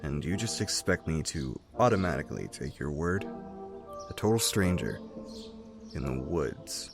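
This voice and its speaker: A man voicing a distrustful, cold male field mouse who speaks in a flat, emotionless, suspicious way, over background music in a fan comic dub. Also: extremely low pitch, measured pace, creaky, exaggerated voice.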